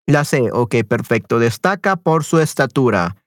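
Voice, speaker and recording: A man's voice: mid-range voice, animated, a little slow, no room sound, studio quality. A man teaching a Spanish lesson to an online group, reading quiz questions aloud and switching often between Spanish and English, repeating his affirmations. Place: online class, talking to students.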